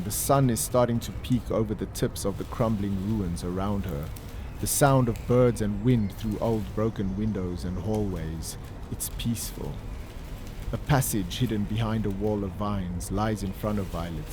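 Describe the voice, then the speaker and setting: A man giving solemn, measured, scripted narration for a dramatic audio story. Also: fairly low pitch, noisy background. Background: music and ambience.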